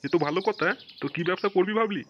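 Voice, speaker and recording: A man playing a gruff, excitable middle-aged village father in a comic cartoon, exclaiming in slangy speech. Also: sits high, rapid-fire. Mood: pleased. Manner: exclaiming, slangy, tag questions. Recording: dull lo-fi audio with some noise.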